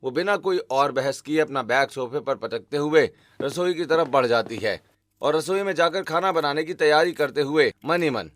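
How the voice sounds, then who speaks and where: A man narrating a cartoon family story in a steady, explanatory way. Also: a little high, normal speed, no echo.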